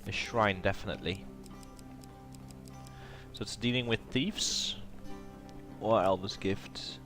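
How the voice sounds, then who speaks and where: A man's voice: fairly low pitch, slow, music in the background. A man with a cold and a nasal voice, hosting a casual gameplay commentary and thinking aloud with plenty of hesitation. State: has a cold. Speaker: let's play host.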